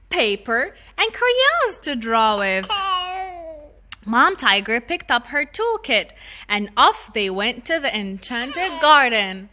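A woman reading a children's storybook aloud in an expressive style as the storytime reader. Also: high-pitched.

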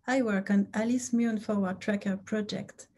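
Mellow-voiced woman technician. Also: mid-range voice, fairly even intonation, normal speed, slight background noise. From France. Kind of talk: read self-introduction.

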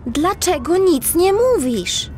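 No-nonsense girl cat cop in a kids radio play, high lively voice. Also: slow, noisy background, full character voice. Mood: impatient. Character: businesslike, self-assured female cat police detective. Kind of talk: children's audio drama acting.

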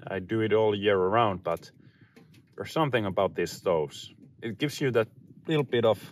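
Low-voiced man musing casually over background noise. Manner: unhurried, musing, speaking in short phrases with pauses. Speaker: outdoor vlogger. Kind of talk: casual, reflective chat to camera. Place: inside a small tent and outdoors in snowy woods.

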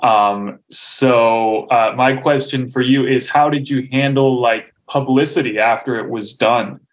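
Male attendee, middle-aged, average pitch, casual question-asking over video call. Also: unhurried, poor audio. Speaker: audience member asking a question. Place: home room, indoors.

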